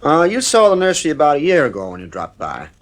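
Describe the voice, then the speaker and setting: A man's voice: fairly high pitch, huge pitch swings, quick pace. A man playing a stern, worried father in an audio drama, firm but exasperated and anxious. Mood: worried, businesslike. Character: stern, worried father losing authority over his children.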